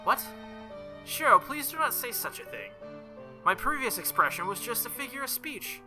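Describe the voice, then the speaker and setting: A man's voice: very high-pitched, big pitch swings, normal speed, pitched above the performer's own voice. A man voicing a stern, earnest young woman knight with formal phrasing, reading visual novel dialogue over music. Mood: softened, concerned.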